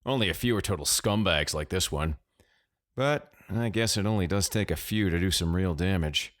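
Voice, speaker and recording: A man's voice: low voice, normal speed, a bit bright. A man plays a young American prankster in an audiobook story. He talks casually, swears freely and teases with open, mischievous amusement. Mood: amused, scornful.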